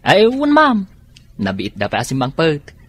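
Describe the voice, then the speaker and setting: An actor playing a polite young house helper who keeps saying ma'am, with a husky voice. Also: male voice, on the high side, huge pitch swings, a little slow, a bit bright, a little hiss or hum, heavily acted. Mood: deferential. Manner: deferential, says ma'am often. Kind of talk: Ilocano radio drama acting.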